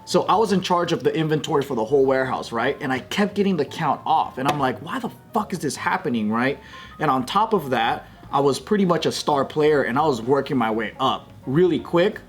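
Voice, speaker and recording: A man's voice: medium pitch, lively intonation, fairly quick, faint music behind. Casual man telling a story, swearing freely. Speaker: talk show commentator. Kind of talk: casual group banter, storytelling.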